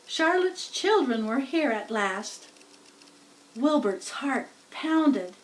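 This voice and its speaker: A woman reading a children's book aloud as a storyteller, in deliberate scripted narration. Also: high-pitched, very animated, distant mic, poor audio. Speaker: storybook reader.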